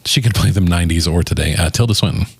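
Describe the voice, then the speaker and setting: A man's voice: deep voice, quick pace, breathy edge, no room sound. A man co-hosting a casual movie review chat, speaking informally and jokily with casual swearing. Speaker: podcast co-host.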